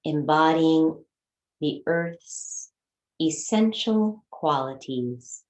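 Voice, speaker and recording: Slow, low-voiced woman guiding meditation. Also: lively intonation, reverberant. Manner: soothing, unhurried instruction with long pauses. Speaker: yoga meditation teacher. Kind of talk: guided meditation.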